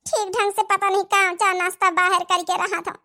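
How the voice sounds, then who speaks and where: Cartoon village sister played by a woman: tiny, very high voice, Awadhi accent, plaintive and dutiful. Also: level tone, normal speed, pushed and bright. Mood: concerned pleading. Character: devoted, grieving young unmarried sister in a village cartoon. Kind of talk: dramatic cartoon dialogue in dialect.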